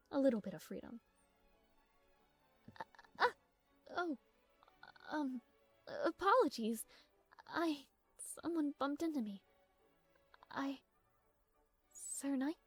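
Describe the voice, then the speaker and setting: A proud young princess, acted by a woman in an audio roleplay, speaks slowly in a high voice. Her diction is formal and regal, haughty on the surface and prone to shy hesitation. The voice is close and dry, with music behind it. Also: no echo. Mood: flustered, shy. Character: strong-willed, proud young princess, easily flustered, secretly smitten.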